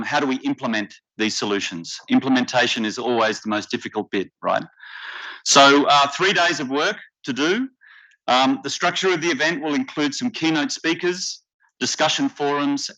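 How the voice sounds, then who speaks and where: An Australian man stands at a podium and welcomes a conference audience. His voice is bright and forceful, and he speaks in a measured, deliberate way. The recording sounds a little muffled and lo-fi. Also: mid-range voice. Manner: measured, deliberate delivery with occasional fillers. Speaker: workshop facilitator and emcee. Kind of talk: formal conference welcome speech. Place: conference hall stage. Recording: slightly dull lo-fi conference audio.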